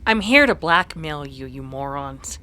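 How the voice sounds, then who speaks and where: A man performs a sassy saloon woman from a western radio play. The tone is bright and raised, with lively swings in pitch. She is bold and scolding, used to getting her way. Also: unhurried, clear, resonant tone. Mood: indignant, scheming. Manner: scolding, assertive. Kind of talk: old-time western radio drama dialogue.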